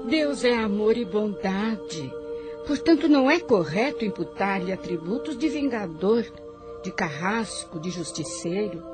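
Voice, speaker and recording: A woman's voice: medium pitch, lively intonation, a little slow, natural voice. A woman playing a solemn, wise spiritual guide who narrates moral counsel in a radio drama. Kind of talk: solemn religious scripted narration over music. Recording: voice over clear background music.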